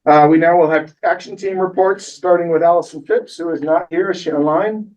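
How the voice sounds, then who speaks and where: A man hosting a community meeting in a room, making announcements in a relaxed, joking way. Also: a little high, fairly quick. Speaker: meeting host. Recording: dull audio, voice from far off.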